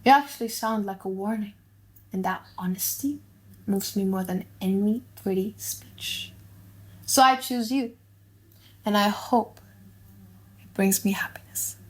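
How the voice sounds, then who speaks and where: An earnest young suitor, played by a woman in a dramatic audiobook. The lines come in short, emphatic phrases with deliberate pauses. The pitch sits in a middle range. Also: close to an everyday voice, low-quality recording. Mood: resolute. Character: earnest young male suitor musing gravely, then smitten. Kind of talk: dramatic audiobook reading in modern English.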